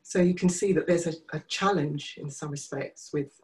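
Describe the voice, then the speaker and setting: A woman in a group discussion, reflecting back what others say, halting with fillers. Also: low voice, a little mellow. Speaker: listener reflecting back in an empathy circle.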